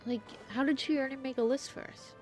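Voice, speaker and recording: A woman's voice: high voice, steady tone, quick pace, a little mellow. A woman streaming a game, muttering blunt, slangy commentary to herself. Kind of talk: casual gameplay commentary. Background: faint game music, keyboard clicks.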